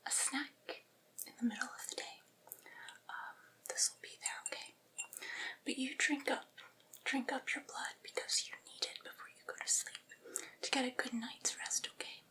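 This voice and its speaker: A woman whispers slowly and soothingly in an ASMR roleplay, playing a doting familiar. Her voice is bright and crisp at the edges, with coaxing, gently reassuring delivery. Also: high-pitched. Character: doting, patient female vampire's familiar soothing their master.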